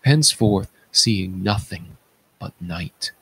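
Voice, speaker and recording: A man voicing a solemn palace attendant who recounts horrors in a declamatory stage-tragedy reading, with dramatic pauses. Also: lowish voice, vocal fry, fairly bright tone, husky, heavily acted, poor audio.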